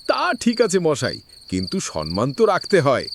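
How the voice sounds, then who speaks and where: A woman's voice: low voice, wildly swooping pitch, normal speed, full character voice. A woman voicing a respectful, nostalgic village man in a cartoon, rustic and wistful, over chirping background noise.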